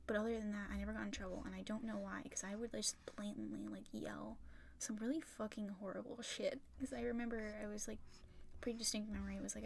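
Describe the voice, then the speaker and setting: A young woman chatting casually and rambling on a livestream while drawing, swearing freely, her voice a little rough and raspy from talking for a long time. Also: mid-range voice, slight background noise. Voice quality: slightly raspy. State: tired, sore throat from long talking. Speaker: art streamer.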